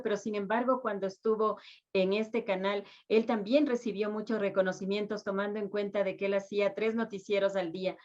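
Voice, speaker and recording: A slightly dull, lo-fi recording of a woman. Her voice sits at a middle pitch as she interviews conversationally, putting questions and adding background. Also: a little mellow.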